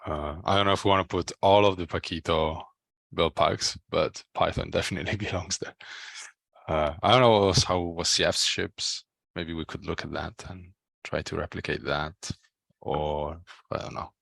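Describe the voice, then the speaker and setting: A man's voice: deep voice, fairly even intonation, normal speed, creaky, a bit bright, no room sound. A man in his thirties thinking aloud tentatively in a casual technical discussion on a headset. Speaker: software developer on a working group call. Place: small room at home, video call.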